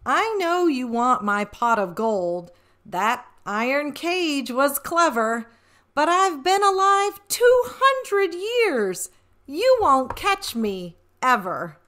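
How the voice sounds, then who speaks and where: A woman voicing a cocky, mischievous old storybook leprechaun, taunting and boasting in drawn-out rhymes. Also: sits high, very animated, a bit bright, exaggerated voice. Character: cocky two-hundred-year-old male leprechaun, mischievous storybook trickster.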